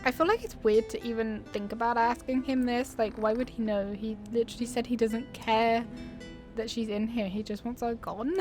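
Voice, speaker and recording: A young woman with a fairly high voice chats casually as she plays a game. She rambles and gets sidetracked in a giggly way, with game music loud behind her. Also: fairly even intonation, moderate pace. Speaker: gameplay video creator. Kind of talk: casual gaming commentary.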